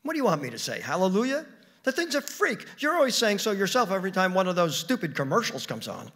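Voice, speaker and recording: A man reading a story, voicing a demanding, sharp-tongued wife with an emphatic, incredulous manner. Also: a little high, very animated, a little fast, slightly rough, full character voice. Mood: exasperated. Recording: reverberant hall with long echo.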